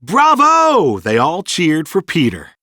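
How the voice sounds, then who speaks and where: A man reading a children's storybook in an animated, dramatic storytelling manner. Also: fairly high pitch, a little slow, no room sound, studio quality. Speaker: storybook narrator. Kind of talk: scripted children's storybook narration.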